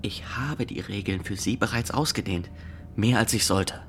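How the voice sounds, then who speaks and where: A man's voice: on the low side, a bit bright, faint music behind, lightly acted. An actor as a stiff, rule-bound young male inspector. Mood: earnest, firm. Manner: stiff, formal, deliberate. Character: rigid, rule-bound young fox inspector, official and earnest. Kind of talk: radio drama acting.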